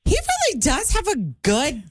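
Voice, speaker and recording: A woman's voice: high voice, wildly swooping pitch, unhurried, dry recording. Giggly upbeat woman on morning radio. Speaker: radio co-host. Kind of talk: casual radio banter.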